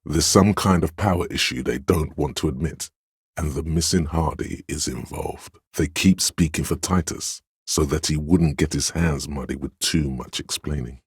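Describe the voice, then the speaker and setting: An actor playing a commanding, domineering inner voice of authority in a video game, speaking with a very deep, resonant bass in a measured, imperious manner. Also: male voice, husky, no room sound, clean studio sound, heavily acted. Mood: grave, measured. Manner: imperious, measured, scolding and goading. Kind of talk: dramatic game narration, inner-voice lines.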